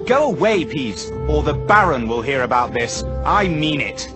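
Officious English schoolboy prefect, game cutscene: highish voice, brisk, self-important, animated intonation. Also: male voice. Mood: bossy. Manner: pompous, brisk. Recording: loud game music behind.

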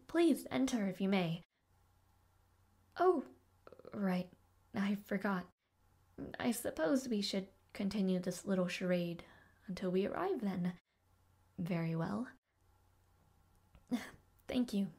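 A woman playing a devoted young maid of noble birth in an audio roleplay, speaking politely and formally, with shy hesitations. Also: mid-range voice. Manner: polite, soft-spoken, flustered hesitations, formal diction. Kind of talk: audio roleplay addressed to the listener.

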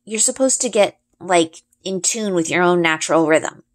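A woman's voice: lowish voice, moderate pace, lightly acted. A woman voicing an easygoing, dry-humored young boy in a storybook, explaining things casually and a bit hesitantly. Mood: patient explaining.

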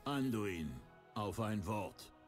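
Ageing monarch for a fantasy game, grave and formal, unbending, faint score behind. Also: male voice, on the low side, lively intonation, takes it slowly, faint music behind. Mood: grave, resolute. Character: proud older king of a fallen, cursed kingdom. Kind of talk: video game voice acting.

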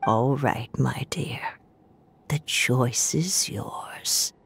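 A woman voicing a wise, grave seer of fates in a video game, speaking in a measured, solemn hush. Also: very deep voice, whispered, exaggerated voice. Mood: solemn, knowing.

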